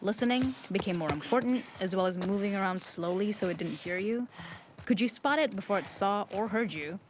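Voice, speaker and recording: A woman's voice: mid-range voice, brisk, noisy background. A woman working as a game level designer gives explanatory developer commentary on her work.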